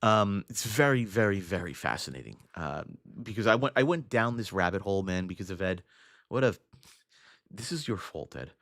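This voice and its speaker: A man's voice: on the low side, lively intonation, moderate pace, fairly bright tone, dry recording, clean studio sound. A young adult man hosting a casual livestream, thinking aloud with frequent restarts and fillers as he answers his chat. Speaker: livestream host. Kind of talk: casual livestream chat reading viewer comments.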